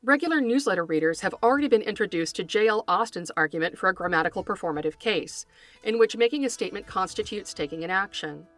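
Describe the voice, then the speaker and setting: A woman podcast host reading scripted educational narration in a measured, articulate, scholarly manner, close to the microphone. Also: medium pitch, lively intonation, a bit bright. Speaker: podcast host, folklore scholar and fiction writer.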